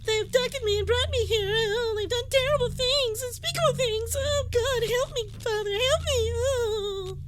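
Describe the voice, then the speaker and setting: A woman's voice: extremely high pitch, over loud music. A woman playing a melodramatic kidnapped daughter who pleads and wails in a campy space-opera audio drama. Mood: distraught, pleading. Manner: wailing, drawn-out pleading.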